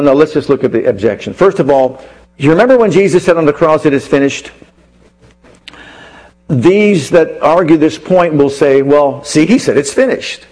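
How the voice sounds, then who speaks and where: A man preaching a teaching sermon, emphatic and full of rhetorical questions, with sudden shifts between slow and rapid delivery. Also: a little high, a little mellow, low-quality recording.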